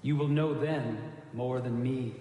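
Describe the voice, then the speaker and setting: A performer reading a dark ghost story as part of a live radio drama. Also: mid-range voice, steady tone, unhurried, slight background noise, close to an everyday voice. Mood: dark, measured. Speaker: actor in an ensemble reading. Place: large town hall stage. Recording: very echoey hall, loud music.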